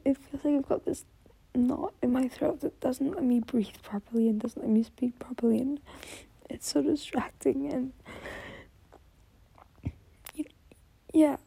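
Young adult woman, high, creaky, whispery voice; tearful, stammering, apologetic intimate delivery to a partner. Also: mellow, dry recording. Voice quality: breathy, whispery voice. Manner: halting, apologetic, stammering repetitions. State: anxious, tearful, close to crying. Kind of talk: intimate whispered monologue, audio roleplay.

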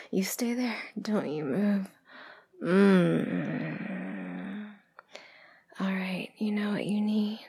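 A woman whispering in a breathy, sultry, teasing voice in an intimate adult roleplay audio, with moans between phrases. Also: mid-range voice, dark tone.

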